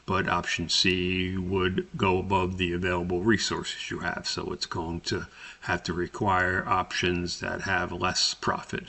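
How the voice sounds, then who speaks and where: Low gravelly guy doing a halting tutorial walkthrough, pretty flat and slow. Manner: halting, step-by-step explaining. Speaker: instructor. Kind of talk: screen-recorded spreadsheet tutorial narration.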